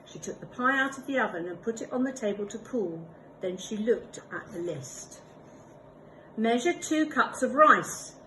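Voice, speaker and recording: A woman, a primary school teacher, reading a picture book aloud with expressive storytelling and little asides. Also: mid-range voice, moderate pace, slight background noise, poor audio.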